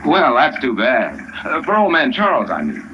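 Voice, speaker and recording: A man's voice: mid-range voice, huge pitch swings, normal speed, muffled recording. An actor playing a friendly, teasing young policeman in an old-time radio drama, breezy and folksy. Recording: noisy vintage radio recording.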